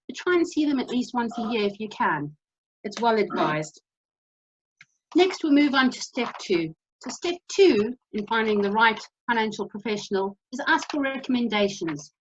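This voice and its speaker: A woman from South Africa with a South African accent, giving a brisk, practical presentation over a video call. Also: on the high side, breathy edge, highs cut off. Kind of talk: presentation talk.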